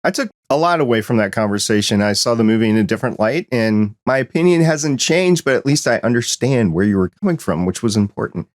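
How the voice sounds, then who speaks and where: A middle-aged man with a Midwestern American accent hosting a podcast, friendly and animated as he tells stories. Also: medium pitch, fast, hardly any pauses, no room sound, clean studio sound. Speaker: podcast host. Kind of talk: casual conversation.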